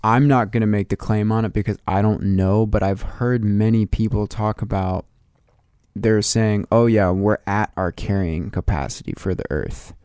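Mellow, low-voiced American man. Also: fairly even intonation, normal speed, clean tone, no echo, clean studio sound. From United States. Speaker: podcast host. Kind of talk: casual chat.

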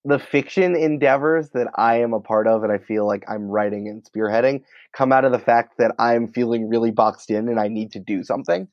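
A man's voice: mid-range voice, fairly even intonation, brisk, few pauses. Guy being interviewed, casual and emphatic, kinda dull lo-fi sound but dry, no room echo. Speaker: podcast producer and writer, interview guest.

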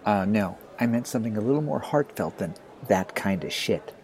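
A man's voice: fairly low pitch, expressive, normal speed, darkish tone. A man playing a wry, awkward ninth-grade boy with a grown-up mind, hesitant and thoughtful, in an audio drama. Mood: helpful, wry.

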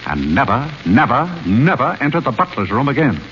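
A courteous, composed butler. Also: male voice, mid-range voice, big pitch swings, a little fast, a bit bright, muffled recording. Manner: formal, courteous, hesitant when cornered. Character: polite, composed male butler, reserved. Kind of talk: 1930s radio drama acting. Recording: scratchy 1930s radio broadcast.

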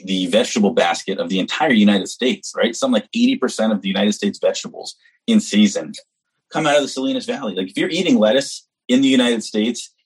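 A man's voice: fairly low pitch, husky, low-quality recording. A man from New Hampshire, an executive chef, speaking as a guest in a casual podcast interview with earnest enthusiasm. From New Hampshire, US East Coast. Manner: enthusiastic, earnest, talks in long run-on stretches.

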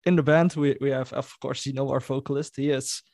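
A man's voice: medium pitch, fairly even intonation, fairly quick, vocal fry, darkish tone, no echo, studio quality. A Dutch-speaking man in a band, telling a story in a casual interview and laughing as he goes. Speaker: band member.

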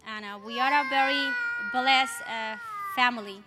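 A woman with a strong Colombian accent giving a church testimony, halting with many fillers. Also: high voice, very animated. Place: church sanctuary. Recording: church hall reverb, light noise.

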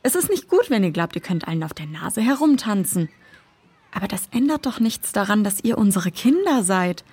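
A woman playing a firm but loving foster mother in a children's audio drama, husky and reassuring. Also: medium pitch, normal speed, no echo. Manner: calm, reassuring, instructive.

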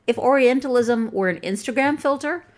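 A woman with a flat Chicago accent delivering scripted commentary in a wry, pointed and dryly humorous way. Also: fairly high pitch, normal speed. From Chicago, USA. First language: English. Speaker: video essayist.

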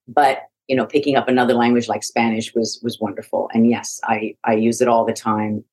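A woman's voice: very deep voice, little pitch movement, a little fast, a little mellow, rough recording. A middle-aged woman from Iraq, a podcast guest telling her life story in a frank, reflective way with frequent restarts. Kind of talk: conversational interview.

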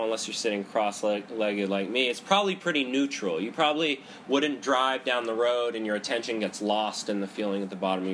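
A man of ordinary pitch, talking loudly through a head cold. He teaches a seated group about meditation in a relaxed, conversational way. He keeps checking in with "right?" and "you know.". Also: a little hiss or hum. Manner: loud talker. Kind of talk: Buddhist psychology talk to a group. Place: indoor room with a group, seated cross-legged.

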